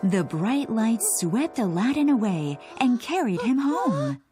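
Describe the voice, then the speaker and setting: A woman reads a children's fairy tale as narrator. Her voice is fairly high and expressive, rising and falling freely over clear background music. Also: slow. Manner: expressive storytelling. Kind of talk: scripted children's story narration.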